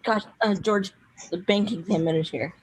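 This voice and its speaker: A woman's voice: medium pitch, normal speed, breathy edge, lightly acted, rough recording. A woman playing an obliging small office secretary in a radio play, with a folksy, hesitant manner.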